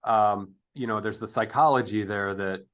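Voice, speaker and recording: A man's voice: fairly low pitch, moderate pace, a bit bright. A man in his fifties talking casually as a podcast interviewer, thinking aloud in a rambling, digressive way. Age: 50s.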